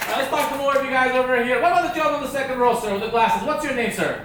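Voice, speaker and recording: Comedian teasing the crowd. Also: male voice, very high-pitched, fairly bright tone, very echoey, far from the mic, a little hiss or hum. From Romania. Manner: quick teasing banter, frequent rhetorical "okay?". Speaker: stand-up comedian doing crowd work. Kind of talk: stand-up crowd work. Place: comedy club.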